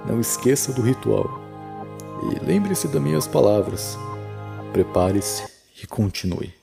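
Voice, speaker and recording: Man voicing a grave, sardonic dead father's ghost, creaky. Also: medium pitch, sing-song, measured pace, music in the background, exaggerated voice. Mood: solemn. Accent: Brazilian. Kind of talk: horror audio drama acting.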